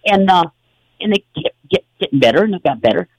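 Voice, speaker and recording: A man's voice: high-pitched, animated, fast talker, no room sound, rough recording. A man hosting a talk show, telling stories in a rambling, casual way with many fillers and restarts. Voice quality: gravelly, husky.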